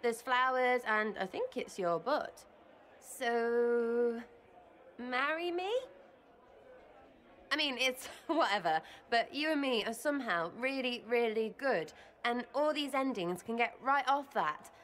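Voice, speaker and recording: Bright-voiced, brash young English elf woman. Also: a little high, expressive. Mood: nervous, heartfelt. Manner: slangy, halting, playful. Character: brash young female elf rogue, cheeky and heartfelt. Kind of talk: video game cutscene dialogue.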